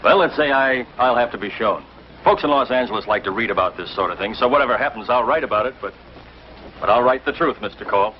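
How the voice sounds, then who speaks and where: Level-headed, probing newsman male actor, medium-pitched, vintage TV drama, measured questions, dull old recording. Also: lively intonation, lightly acted. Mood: calm, questioning. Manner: measured, questioning, hesitant starts. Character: reasonable, inquisitive, skeptical male city reporter. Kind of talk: 1950s television drama acting. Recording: dull, noisy old TV soundtrack.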